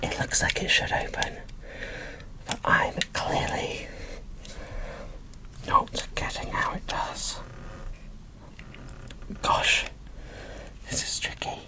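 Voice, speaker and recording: Slow, whispering woman in ASMR style. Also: low-quality recording. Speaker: video creator presenting a doll unboxing. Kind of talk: whispered ASMR unboxing commentary.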